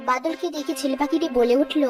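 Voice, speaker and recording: A woman reads scripted children's story narration. Music is clearly audible behind the storytelling. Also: high-pitched, steady tone, fast, recorded from a distance.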